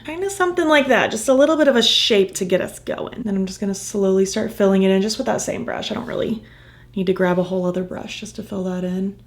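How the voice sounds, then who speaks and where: Woman doing a makeup tutorial, kinda croaky from losing her voice, super gushy and excited. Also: medium pitch. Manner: gushing, enthusiastic, soft murmurs while applying. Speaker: makeup vlogger. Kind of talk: casual makeup tutorial chat.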